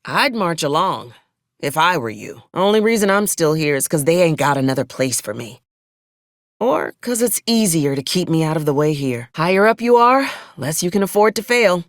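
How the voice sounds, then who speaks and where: Game casting: haughty, bloodthirsty female frontier officer, folksy ornate phrasing, swooping pitch, dry close recording. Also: very animated, normal speed, dry recording. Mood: resigned, dry. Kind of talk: video game character dialogue.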